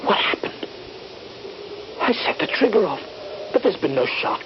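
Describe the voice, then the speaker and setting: An actor playing a desperate, haunted, wounded young soldier in a 1970s radio drama, moving between hushed whispers and cries. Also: male voice, high-pitched, sing-song, a little slow, background noise. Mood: haunted, tense. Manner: anguished, theatrical, swinging from hushed whispers to cries. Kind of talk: 1970s radio drama acting with first-person narration. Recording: dull 1970s radio recording, music behind.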